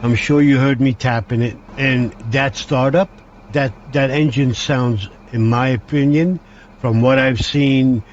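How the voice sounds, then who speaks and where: A man from Hungary, talking a train simulator through step by step, halting and restarting his sentences. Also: medium pitch, steady tone, dull audio, a little hiss or hum. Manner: halting, with frequent restarts, talks through each control step. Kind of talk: gameplay commentary.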